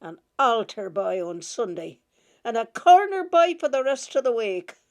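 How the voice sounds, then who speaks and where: A woman reading aloud and voicing a cross, sharp-tongued schoolmistress who scolds in a shrill, raised voice. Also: very animated, a little slow. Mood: scolding.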